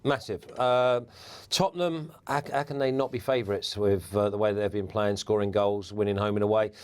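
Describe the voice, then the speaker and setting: A man, a former footballer, giving relaxed football punditry as a guest, laughing easily and restarting words. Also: fairly low pitch, normal speed. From England.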